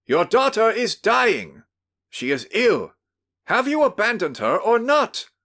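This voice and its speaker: A man voicing a stern, angry gentleman who shouts orders in a storybook reading. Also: fairly low pitch, lively intonation, a little slow. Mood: shouting. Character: angry, commanding middle-aged gentleman.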